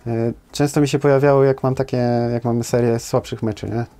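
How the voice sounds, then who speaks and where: Footballer guest in his 30s, hesitant, mellow low timbre, small studio. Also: steady tone, quick pace. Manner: hesitant, many fillers and tag questions. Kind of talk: casual interview answers. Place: small studio with brick wall.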